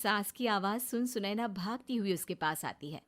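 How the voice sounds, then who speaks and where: A woman's voice: medium pitch, animated, normal speed. A woman narrator reading a scripted moral story for a cartoon.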